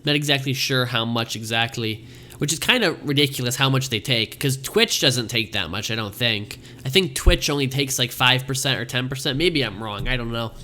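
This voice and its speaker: A man's voice: medium pitch, normal speed, pushed and bright. A young man hosting a casual live stream, rambling and thanking viewers often while his voice wears out. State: losing his voice. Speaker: livestream host.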